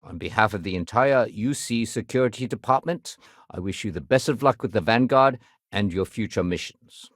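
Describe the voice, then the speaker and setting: A man playing a dutiful, wry, level-headed space-colony security sergeant in a video game, with measured, conversational authority. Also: on the low side, dry recording, studio quality, lightly acted. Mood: dutiful, courteous. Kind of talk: video game character dialogue.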